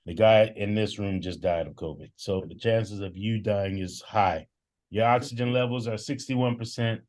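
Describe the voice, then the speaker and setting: A man, a bass singer, telling stories in a casual conversation, animated and full of exclamations. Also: moderate pace. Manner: animated, breathless storyteller with exclamations. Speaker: bass singer in an a cappella group.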